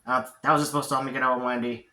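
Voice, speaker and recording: A man's voice: medium pitch, little pitch movement, racing, bright, forceful tone, close to an everyday voice. A man voicing an awkward, mopey, lovesick cartoon preteen boy in a hesitant, defensive way, with a roomy echo. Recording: roomy, echoey sound.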